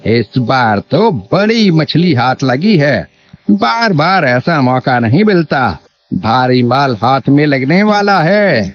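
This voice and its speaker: A man's voice: medium pitch, sing-song, slow. A man playing a greedy, falsely humble village landlord in a cartoon moral story, with an oily, over-polite manner. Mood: gloating. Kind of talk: Hindi animated moral story acting. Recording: dull lo-fi sound, faint music bed.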